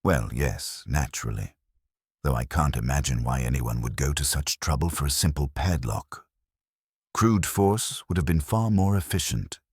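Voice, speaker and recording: A man reading as a proud, very deep-voiced craftsman. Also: normal speed, a little mellow, breathy edge, dry recording, studio quality. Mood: proud, satisfied. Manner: matter-of-fact, pleased with own expertise. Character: proud, self-satisfied male craftsman, mechanical expert. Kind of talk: mystery audiobook dialogue.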